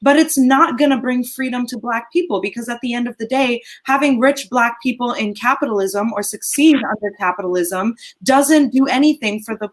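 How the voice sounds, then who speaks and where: A woman's voice: fairly high pitch, normal speed, few pauses, low-quality recording. A woman hosting a live talk show, reading viewer comments and then giving her own view in a casual way.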